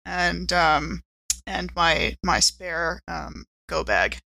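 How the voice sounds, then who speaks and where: Female tabletop player, bright medium-pitched voice, hesitant conversational roleplay, dry close recording. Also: expressive, very slow, vocal fry, no echo, poor audio. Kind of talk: casual chat mixed with in-character play.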